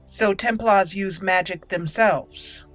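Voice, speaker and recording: Unhurried, playful woman gamer. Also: medium pitch, fairly bright tone, faint music behind. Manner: chatty, playful, thinking aloud. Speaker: game roleplay channel host. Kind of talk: casual let's play commentary with roleplay.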